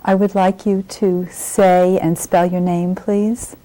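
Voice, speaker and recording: A woman interviewer asking formal questions in a slow, measured way. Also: fairly low pitch, little pitch movement, dark tone, poor audio. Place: home interview.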